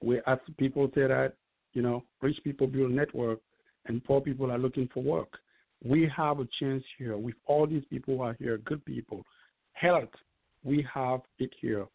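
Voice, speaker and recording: A man's voice: mid-range voice, steady tone, normal speed, gravelly, no room sound. A man in his fifties from Cameroon, giving an earnest, persuasive testimonial as a guest on a call. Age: 50s. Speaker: guest speaker. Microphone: call-in line. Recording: tinny phone-like call audio.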